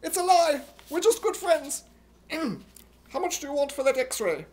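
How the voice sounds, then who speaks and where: A man voicing an excitable, gullible, indignant comic hero in a loud, exclamatory, put-on British accent, in 1950s radio comedy style. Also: extremely high pitch, unhurried, full character voice. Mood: indignant, panicked. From United States.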